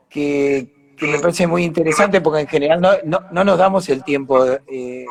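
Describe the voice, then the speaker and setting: An Argentine man, an actor being interviewed, talking casually and reflectively as he explains things and searches for words. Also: fairly high pitch. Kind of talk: casual live conversation.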